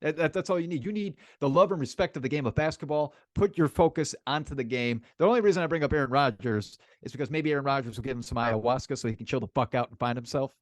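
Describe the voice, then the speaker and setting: A man's voice: mid-range voice, fast, few pauses, dry recording, clean studio sound. A man co-hosting a sports podcast in casual, opinionated chat, emphatic and informal, repeating phrases for emphasis.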